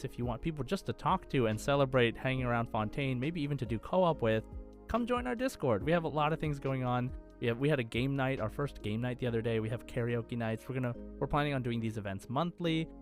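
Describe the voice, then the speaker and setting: A man's voice: medium pitch, expressive, fast talker, soft background music. A man hosting a podcast in a casual, chatty style with an upbeat, enthusiastic manner. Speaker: podcast host.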